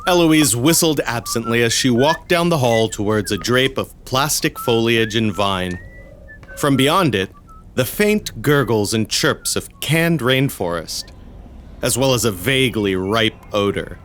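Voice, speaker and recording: Expressive male story narrator, animated pitch. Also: measured pace, slight background noise.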